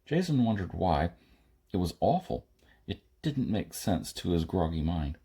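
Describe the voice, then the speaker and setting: A man's voice: deep voice, sing-song, a little slow, mellow, husky. A man reading a science fiction story aloud as scripted audiobook narration. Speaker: audiobook reader.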